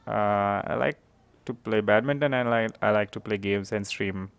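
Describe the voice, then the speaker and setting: A man's voice: lowish voice, moderate pace, creaky, clear, resonant tone. A young man from India with an Indian accent, chatting casually with strangers over video from his bedroom on a headset mic, laid-back and chuckling. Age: young adult. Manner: laid-back, chuckling, mixes Hindi and English. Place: small bedroom. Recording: noisy home recording.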